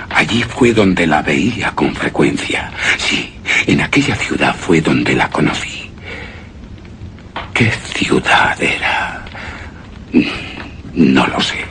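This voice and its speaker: A man playing a brooding, grief-haunted widower in a horror radio drama, speaking slowly and haltingly with breathy whispered words. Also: extremely low pitch, bright, forceful tone, a little hiss or hum, full character voice. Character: brooding, grief-haunted widower recalling his lost beloved wife. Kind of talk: suspense and horror radio theatre acting, first-person narration.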